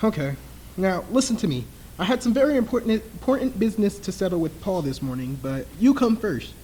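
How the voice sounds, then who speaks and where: Man acting as a smooth, menacing, swooping radio husband. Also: high voice, very animated, a little fast, mellow, exaggerated voice, poor audio. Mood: soothing, insistent. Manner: outwardly soothing, patronizing, theatrical endearments. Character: smooth, menacing husband toying with his frightened wife. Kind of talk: old-time radio thriller acting.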